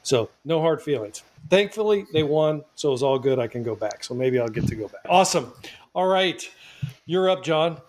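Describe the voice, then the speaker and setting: A man hosting a casual podcast, joking with his guests and telling animated stories. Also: on the high side, moderate pace. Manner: jokey, animated storyteller. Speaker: podcast host.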